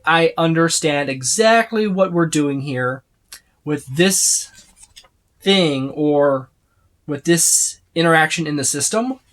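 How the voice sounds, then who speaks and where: A person giving a casual, unscripted overview of a game rulebook, rambling and repeating points for emphasis as they itemize. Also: mid-range voice. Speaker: tabletop game book reviewer.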